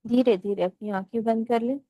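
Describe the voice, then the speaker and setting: A woman leading a guided meditation as instructor, speaking in a measured, gently instructive way with pauses between phrases. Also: a little high, fairly even intonation, dry recording.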